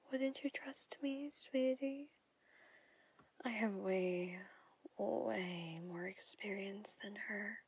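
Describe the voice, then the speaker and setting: A woman's voice: mid-range voice, heavily acted. A woman in a whispered audio roleplay, playing a jealous, possessive stepmother who coaxes and controls. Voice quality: breathy whisper. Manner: intimate whispering, coaxing and controlling.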